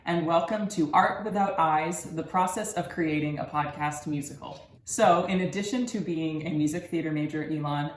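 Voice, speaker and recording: A man in his early twenties giving a scripted research presentation as a student presenter. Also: a little high. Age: early 20s. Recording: roomy echo with background noise.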